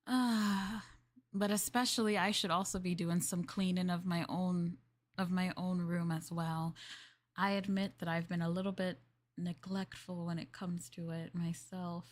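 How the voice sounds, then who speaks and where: A young woman with a slightly low voice chats softly in a maid roleplay, as if to her master. She rambles, giggles often and trails off into little hesitant fillers. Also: steady tone, unhurried. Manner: playful, addressing the listener as master. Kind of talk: soft casual ASMR roleplay chat.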